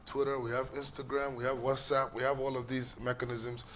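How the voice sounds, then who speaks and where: A man from Ghana and New York giving explanatory interview answers as an executive guest, in short, deliberate phrases. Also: medium pitch, flat delivery, fairly bright tone, a little hiss or hum.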